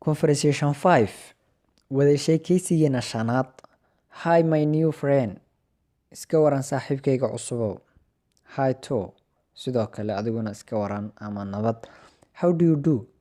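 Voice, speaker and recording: Somali-accented man teaching English phrases. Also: mid-range voice. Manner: reads English lines slow and raised, then explains quietly. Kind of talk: language lesson, reading sample dialogues and translating.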